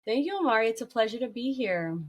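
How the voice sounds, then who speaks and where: A woman's voice: on the high side, lively intonation, quick pace. A woman talking conversationally as a guest on a podcast interview. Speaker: podcast guest, workplace well-being consultant.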